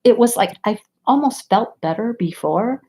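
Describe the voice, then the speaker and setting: A woman's voice: fairly high pitch, lively intonation, moderate pace, warm tone. Chatty woman with a stuffy nose. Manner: rambling, chatty, laughs often. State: stuffy nose from a sinus infection. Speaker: diamond painting livestream host. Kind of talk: casual chat while crafting.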